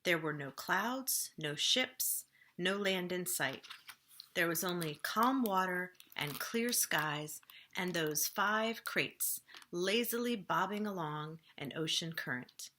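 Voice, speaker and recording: A woman reading a storybook aloud for children, with expressive, dramatic phrasing that often drops softly at the ends of phrases. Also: fairly low pitch, slow pace, slight background noise.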